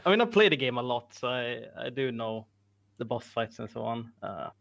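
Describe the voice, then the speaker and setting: A man's voice: mid-range voice, expressive, normal speed, creaky, fairly bright tone, no room sound. A Swedish man with a Swedish accent, modestly answering interview questions in a casual chat and laughing often. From Sweden. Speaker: interviewed tournament winner.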